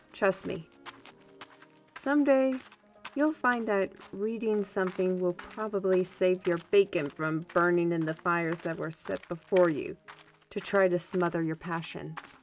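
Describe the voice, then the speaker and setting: A woman playing a spirited, good-humored artist and adventurer in a fantasy audio drama, with a playful, expressive delivery. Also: fairly low pitch. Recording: music under the voice.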